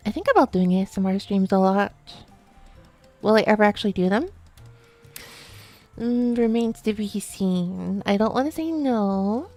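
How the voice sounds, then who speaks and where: A woman streaming a late-night chat, talking softly and quietly while sleepy, with many fillers and restarts. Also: mid-range voice, lively intonation, moderate pace, long pauses, soft background music. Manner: soft, quiet, drowsy talk with fillers.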